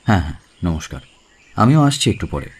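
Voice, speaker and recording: A man's voice: sits low, big pitch swings, fairly quick, slightly rough, heavily acted. A man playing an earnest, obliging young neighbour in an audio drama, with a polite, hesitant, conversational manner. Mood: helpful.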